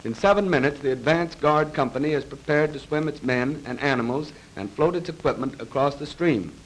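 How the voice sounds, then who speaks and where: A man's voice: a little high, slight background noise, poor audio. Formal man narrating a 1930s training film. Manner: formal, measured, precise. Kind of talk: 1930s military instructional film narration, scripted. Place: voice-over recorded for a film. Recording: vintage 1930s film soundtrack.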